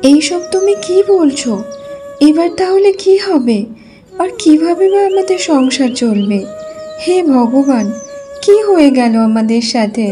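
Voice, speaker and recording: A woman's voice: high voice, expressive, a little slow, warm tone. A woman narrating a cartoon folk tale and voicing its village wives. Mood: worried. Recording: music behind the voice.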